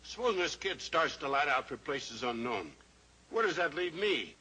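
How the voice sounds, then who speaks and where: An actor playing a gruff, stubborn small-town western sheriff in a 1950s radio drama, blunt and stubborn. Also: male voice, a little high, big pitch swings, moderate pace, bright, forceful tone, full character voice, rough recording. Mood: protesting. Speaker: sheriff character.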